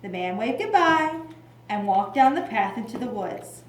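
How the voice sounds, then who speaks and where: A woman's voice: on the high side, very animated, normal speed. A woman narrating a scripted children's story for a puppet show. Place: indoor room at a library. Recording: very echoey room, distant mic, clear noise.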